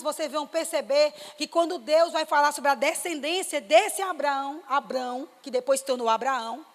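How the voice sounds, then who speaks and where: A woman from Fortaleza, preaching a sermon in a church and building to emphatic, excited exclamations. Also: sits high. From Brazil, Fortaleza. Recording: reverberant church hall.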